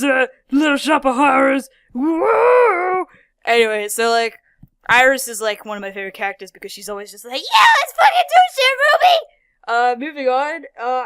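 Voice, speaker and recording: Foul-mouthed, animated woman rambling. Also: on the high side, smooth, no echo, clean studio sound. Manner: profane, animated, keeps slipping into impressions. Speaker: YouTuber reviewing a childhood cartoon. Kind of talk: casual rambling commentary.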